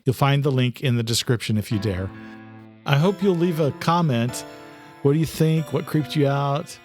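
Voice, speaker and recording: A man's voice: mid-range voice, lively intonation, normal speed, darkish tone, faint music behind. A man reading scripted horror thriller narration as an audiobook narrator.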